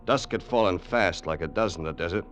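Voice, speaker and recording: An actor playing a loyal, eager crime sidekick in an old radio suspense drama, plain-spoken and deferential. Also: male voice, deep voice, a little fast, a bit bright. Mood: tense narrating. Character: loyal, eager male crime sidekick telling his story. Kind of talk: 1950s radio acting, first-person narration and dialogue. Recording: vintage radio drama with music bed.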